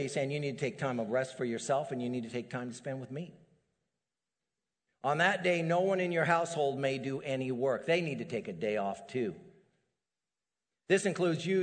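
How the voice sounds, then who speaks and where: Animated male pastor preaching in a hall. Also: medium pitch. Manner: animated, rises to emphatic peaks. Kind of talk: sermon. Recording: long hall reverb.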